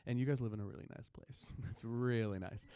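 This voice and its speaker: A man's voice: on the low side, fairly even intonation, fairly quick, mellow, smooth, dry recording. A young man from Sweden with an American accent, a singer speaking as a guest in a radio studio, earnest and open with many ums. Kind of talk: casual spoken talk between songs.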